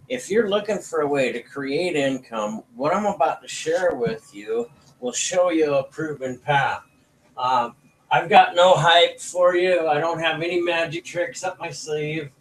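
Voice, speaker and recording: Guy doing a folksy network marketing pitch, kinda high voice, persuasive, talking to folks informally. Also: a little hiss or hum, low-quality recording. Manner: folksy, persuasive salesman talk. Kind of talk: informal sales pitch presentation.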